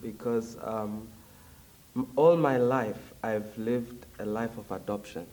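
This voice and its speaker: A young man from Zambia, talking as a guest in a casual interview, reflective and hesitant with frequent pauses. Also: fairly low pitch, fairly even intonation, dark tone, far from the mic. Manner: reflective, hesitant with many ums.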